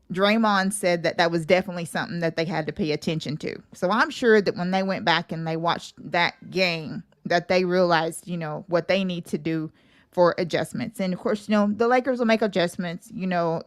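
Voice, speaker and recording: A woman's voice: lowish voice, moderate pace. A woman guest on a sports talk show, chatting casually and colloquially with plenty of opinion. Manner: conversational, colloquial phrasing.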